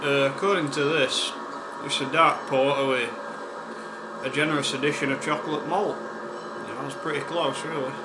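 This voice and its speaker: A man with a West Yorkshire accent hosting a casual beer-tasting vlog, chatty and unhurried, with plenty of ums and uhs. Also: fairly high pitch, steady tone. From West Yorkshire, England. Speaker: beer reviewer, channel host. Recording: echoey room with air-conditioning hum.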